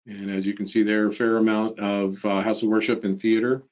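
A man's voice: on the low side, flat delivery, brisk, a little mellow. A man hosting a webinar in a casual presenting style, heard over a video call. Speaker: webinar host. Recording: dull, lo-fi computer audio.

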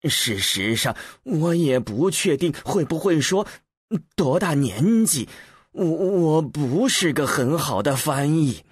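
Man voicing a high-pitched, flustered old scholar. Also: big pitch swings, slightly rough, dry recording, clean studio sound, full character voice. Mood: hesitant, apologetic. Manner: hesitant, halting, apologetic. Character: flustered, modest older male scholar acting as translator. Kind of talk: acted audiobook dialogue.

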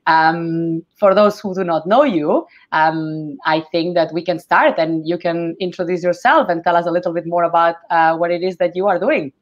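A woman's voice: lowish voice, moderate pace, hardly any pauses. A woman hosting a live video interview through a headset, chatty and a bit flustered. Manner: chatty, excitable, a little flustered. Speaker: host. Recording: dull lo-fi call audio.

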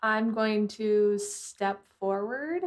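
A woman's voice: mid-range voice, extremely slow. A woman playing a tabletop roleplaying game, chatting casually and saying what her character does.

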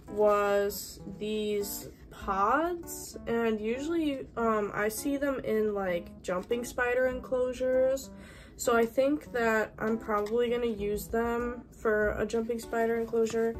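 A young woman chats to camera in a casual vlog style. Her voice sits fairly high and she talks at an unhurried pace. Faint music plays behind her. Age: 20s. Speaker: vlogger.

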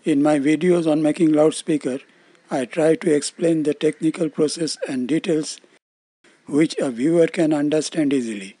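An older man, around his seventies, with an Indian accent, giving scripted explanatory narration in formal, measured phrasing. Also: a little high, warm tone, no room sound. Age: older, around 70s. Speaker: presenter of loudspeaker-making videos.

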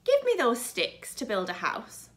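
A woman's voice: fairly high pitch, huge pitch swings, measured pace. A woman voicing a plucky little storybook pig, polite but defiant, in simple sing-song phrases. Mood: pleading.

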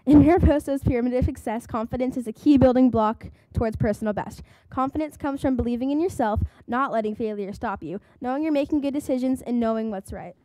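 A young student presenter carefully reading a school report aloud at a desk microphone in a large meeting hall. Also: female voice, fairly high pitch, quick pace, few pauses, dark tone.

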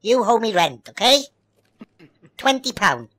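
A Welsh man rants at other players over game chat, his voice pitched high and swinging widely. He scolds in an indignant, sweary way. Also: big pitch swings, unhurried, fairly bright tone. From Wales. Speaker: gamer in online voice chat. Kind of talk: comedic in-game trolling rant.

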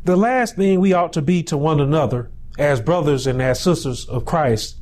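A pastor in his forties preaching a sermon in a small indoor room on a lapel mic, building to shouted peaks between slow, drawn-out phrases. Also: a little high, a little hiss or hum.

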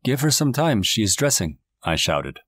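A man's voice: low voice, animated, moderate pace, no room sound, clean studio sound. A man voicing a devoted, protective island leader and husband in an audiobook story, speaking earnestly. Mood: firm.

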